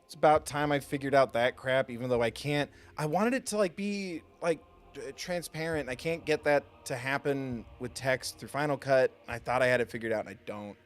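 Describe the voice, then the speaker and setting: A man hosting a video game livestream, chatting casually and haltingly with viewers in a self-deprecating, joking way. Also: medium pitch. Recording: music behind the voice. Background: music.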